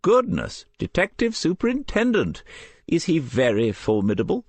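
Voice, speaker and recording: A man's voice: a little high, wildly swooping pitch, moderate pace, dry recording, pitched above the performer's own voice, heavily acted. A man playing a courteous, arch elderly Englishman. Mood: arch curiosity.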